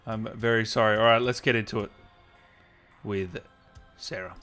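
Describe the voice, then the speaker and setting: A man's voice: lowish voice, fairly even intonation, normal speed, pushed and bright, soft background music. A man hosting a casual streamed interview, talking informally and tagging his lines with little questioning asides. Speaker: host, interviewer.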